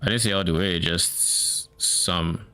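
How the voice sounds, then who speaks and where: Casting: man from Nigeria, Nigerian-Canadian accent, lowish resonant voice, musing live streamer. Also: slow pace, bright, forceful tone, smooth. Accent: mix of Nigerian and Canadian. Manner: thinking aloud, musing and self-questioning. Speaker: game streamer. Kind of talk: live stream, casual chat while planning a game build.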